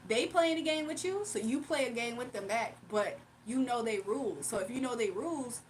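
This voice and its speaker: A woman's voice: a little high, lively intonation, moderate pace, a little hiss or hum. A young woman streaming live, chatting casually with her viewers, laughing often and reading out chat messages.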